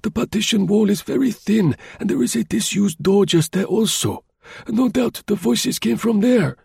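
A frail, husky old concierge. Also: male voice, high-pitched, animated, moderate pace, a little mellow, no echo, pitched above the performer's own voice, full character voice. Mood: coolly explaining. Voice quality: husky, croaking. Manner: meek, pleading. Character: very old frail meek male concierge with a cold.

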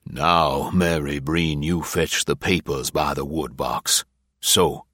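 A man playing a calm, grimly murderous old farmer with a measured rustic drawl in an audiobook. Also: extremely low pitch, breathy edge, no echo, clean studio sound, full character voice. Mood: stern, commanding. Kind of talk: audiobook character acting.

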